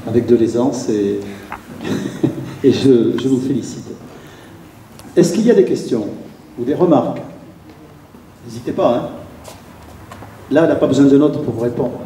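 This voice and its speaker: A man's voice: medium pitch, dark tone, roomy sound, far from the mic, a little hiss or hum, rough recording. A man moderating a public debate, polite and formal as he thanks the speaker and invites questions.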